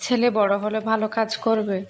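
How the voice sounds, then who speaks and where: A woman's voice: a little high, little pitch movement, brisk, soft background music, natural voice. A woman voicing a poor, patient, loving village mother in a cartoon, humble and pleading. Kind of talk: cartoon dialogue.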